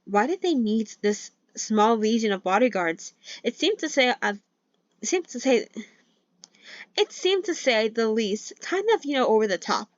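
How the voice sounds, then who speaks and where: A woman's voice: fairly high pitch, lively intonation, normal speed, no echo. A woman who is not a native English speaker, reading a novel aloud in a casual read-along, chatting between passages and stumbling over words. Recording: dull, lo-fi dry mic.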